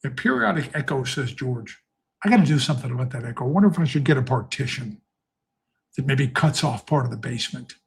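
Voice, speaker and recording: A middle-aged man with a very husky, rough voice, talking through horse races in a rambling, chatty way. He fills his thoughts with pauses and asides. The sound carries a slight echo from his basement home studio. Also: medium pitch, animated. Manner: chatty, rambling, thinks aloud with many fillers. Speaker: horse racing handicapper. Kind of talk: casual live-stream talk. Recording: echoey basement room.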